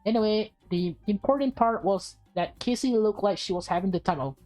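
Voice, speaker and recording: A woman's voice: mid-range voice, fairly quick, warm tone, faint music behind. A woman reading a visual novel aloud with casual asides, often stumbling and rereading phrases. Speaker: gaming video host, reader.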